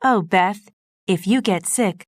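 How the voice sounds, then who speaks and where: A woman's voice: mid-range voice, slow pace, no echo, studio quality, natural voice. A woman voicing a caring young sister in a storybook reading, in a plain, near-natural voice.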